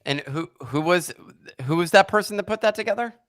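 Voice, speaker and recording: A man's voice: high voice, lively intonation, a little fast, a bit bright, no room sound, studio quality. A man co-hosting a podcast and putting casual interview questions to a guest. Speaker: podcast co-host.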